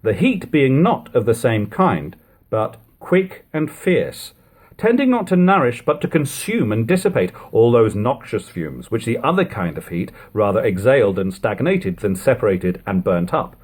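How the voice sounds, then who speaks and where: Husky, expressive male audiobook reader. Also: medium pitch, moderate pace. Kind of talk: literary audiobook narration. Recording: lo-fi home recording.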